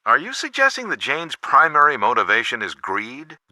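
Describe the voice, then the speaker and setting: An actor playing a caring, cautious middle-aged father in a video game, with a measured, advisory manner. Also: mid-range voice, huge pitch swings, sharp tone, no room sound, exaggerated voice. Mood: cautioning, surprised. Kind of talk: scripted game voice acting.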